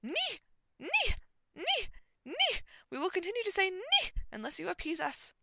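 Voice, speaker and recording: A woman voicing a very tall, pompous antlered knight who booms out absurd demands in a comic fantasy story. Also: wildly swooping pitch, a little slow, bright, forceful tone, no room sound, exaggerated voice. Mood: shrieking menace. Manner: booming, shrill chanting, declamatory.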